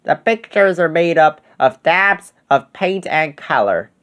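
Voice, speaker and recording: A man's voice: on the high side, animated, a little slow, fairly bright tone, clean tone. Man reading as a kindly grandmother. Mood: gentle, explaining. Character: kindly grandmother explaining art in a storybook.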